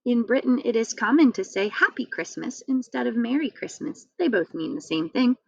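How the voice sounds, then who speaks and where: Lively, higher-voiced woman hosting children's read-aloud. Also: normal speed, vocal fry, no echo, muffled recording. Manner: expressive, addressing children directly.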